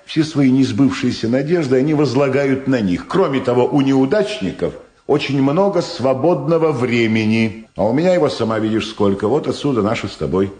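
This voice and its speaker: A man's voice: medium pitch, fairly quick, darkish tone, close to an everyday voice. An actor playing a busy, self-important middle-aged prosecutor and evasive father in a Soviet radio drama, with hesitant, blustering speech. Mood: probing, defensive.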